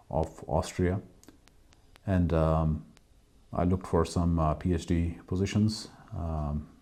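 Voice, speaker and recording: A man in his forties from India hosts his own podcast, telling his story slowly and with many hesitations. His voice is very low and warm in tone. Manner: hesitant, many ums and uhs, restarts. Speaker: host. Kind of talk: solo podcast introduction, personal story.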